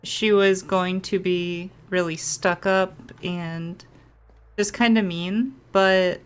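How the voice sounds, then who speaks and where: Slow-paced woman casually commenting on gameplay. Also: fairly low pitch, steady tone, smooth. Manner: informal, rambling, thinking aloud. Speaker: gaming streamer. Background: faint game music.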